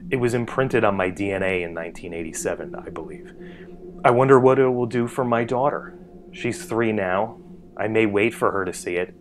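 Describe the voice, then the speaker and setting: Low-voiced man narrating a personal story. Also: noisy background. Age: 40s. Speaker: writer narrating a personal essay.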